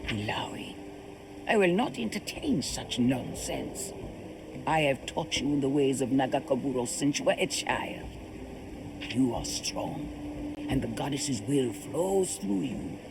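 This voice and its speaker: A woman playing a wise, stern older religious mentor in a video game, speaking in a measured, solemn, breathy way. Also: rumbling low, expressive, background noise, exaggerated voice. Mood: earnest reassurance.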